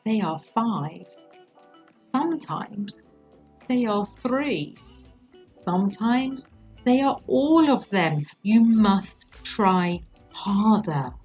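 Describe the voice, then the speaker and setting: A woman voicing a calm, controlling male interrogator in a story reading, deliberate and patronising as he questions his captive. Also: sits high, breathy edge, lo-fi sound, soft background music, exaggerated voice. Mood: patient, patronising.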